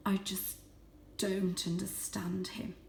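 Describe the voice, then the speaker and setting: A woman's voice: mid-range voice, little pitch movement, takes it slowly, gravelly, darkish tone, a little hiss or hum. A woman voicing a stern, proud, disapproving father cat in a storybook. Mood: disappointed muttering. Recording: roomy echo.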